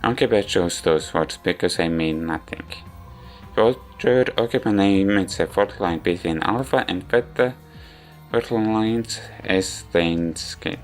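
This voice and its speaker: A man giving a rambling, halting spoken review of a visual novel in non-native English. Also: low voice. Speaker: video game reviewer. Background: background music.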